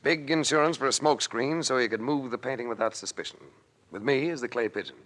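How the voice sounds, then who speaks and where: A man performs an unflappable adventurer sleuth in an old-time radio drama. His medium-pitched voice is dry and wry. He speaks in clipped, confident phrases. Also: quick pace, a bit bright, lightly acted. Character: cool, wry male adventurer investigator.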